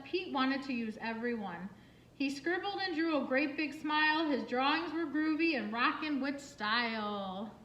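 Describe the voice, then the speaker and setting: A woman reading a picture book aloud for children in an expressive, singsong way, with asides and questions to young listeners. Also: sits high. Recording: roomy, echoing room sound.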